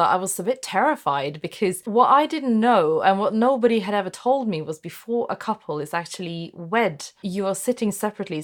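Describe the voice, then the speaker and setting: A woman from Norway, a native Norwegian speaker, talking thoughtfully and personally in a conversation. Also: lowish voice. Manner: thoughtful, personal, frequent self-corrections. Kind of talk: conversational discussion.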